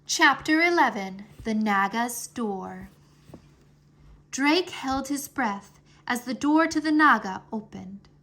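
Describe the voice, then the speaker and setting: A woman reading a children's fantasy chapter book aloud as an expressive storyteller. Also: fairly high pitch, takes it slowly. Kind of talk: expressive scripted narration.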